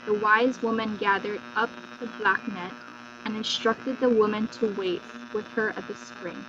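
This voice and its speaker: A woman's voice: mid-range voice, fairly even intonation, moderate pace. A woman storyteller giving a scripted, dramatic narration of a folktale. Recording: dull lo-fi recording with loud mains hum.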